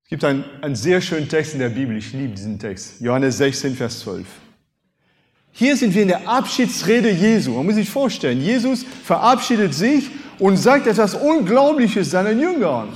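A man in his fifties, a native French speaker, preaching a sermon with animated rhetorical questions and emphatic swings in loudness. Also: sits high, moderate pace. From France. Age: 50s. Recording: long hall reverb.

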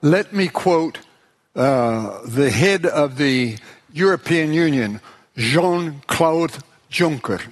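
A man preaching a sermon in short phrases, pausing for his interpreter. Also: on the high side, animated, breathy edge. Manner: slow, deliberate, pausing for interpreter.

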